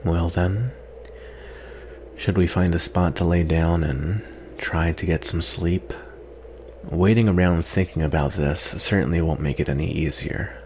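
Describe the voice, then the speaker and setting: Guy doing a proud jaded vampire for asmr roleplay, soft n dry, really slow deep voice, some noise behind it. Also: steady tone. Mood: grudging. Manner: soft-spoken, dry, sighing, disdainful. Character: proud, sardonic captive male vampire, wearily defensive. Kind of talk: ASMR roleplay, acted monologue.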